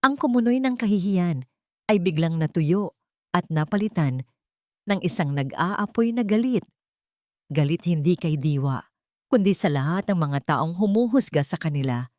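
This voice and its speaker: A woman reading a scripted dramatic story as its narrator, in a measured, solemn storytelling manner. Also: lowish voice, lively intonation, warm tone, no echo, studio quality.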